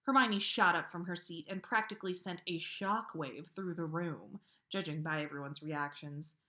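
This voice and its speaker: Expressive woman, informal storyteller. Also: fairly low pitch, moderate pace. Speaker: podcast host reading listener stories. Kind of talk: casual livestream chat, then storybook read-aloud.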